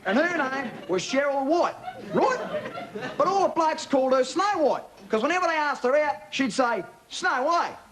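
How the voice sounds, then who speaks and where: A man's voice: very high-pitched, big pitch swings, normal speed, a crowd in the background. A man playing a cheeky Australian bogan bloke with a broad accent, telling a comic bedtime story in a laid-back, slangy, matey way. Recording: TV studio with loud audience laughter, noisy.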